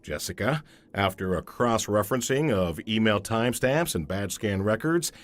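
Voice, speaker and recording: An actor playing a formal, humourless company auditor in an audio comedy, with a halting, officious, deadpan delivery. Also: male voice, lowish voice, loud music behind the voice, natural voice. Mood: deadpan, probing.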